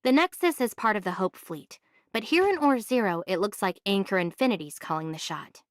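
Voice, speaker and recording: A woman voicing a practical, inquisitive young female adventurer in an anime game, speaking in a casual, measured way. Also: mid-range voice, lively intonation, no echo, natural voice. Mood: curious, focused. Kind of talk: anime video game voice acting.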